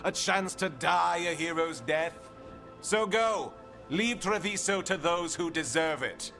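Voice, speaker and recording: An actor playing a pompous, sardonic official in a video game drama, haughty and self-justifying. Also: male voice, a little high, lively intonation, slow pace, gravelly, bright, forceful tone, faint music behind, exaggerated voice. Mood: mocking.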